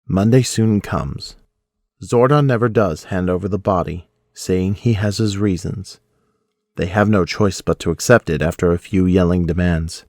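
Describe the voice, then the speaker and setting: Deep-voiced male narrator, dry recording. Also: normal speed, darkish tone, dry recording. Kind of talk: scripted audio drama narration.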